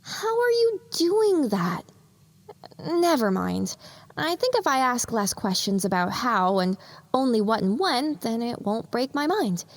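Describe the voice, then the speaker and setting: High, lively young filly voiced by a woman. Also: measured pace, no room sound, exaggerated voice. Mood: perky, muttering. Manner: self-questioning, thinking aloud. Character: young filly pony, anxious, hopeful survivor. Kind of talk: audio drama acting.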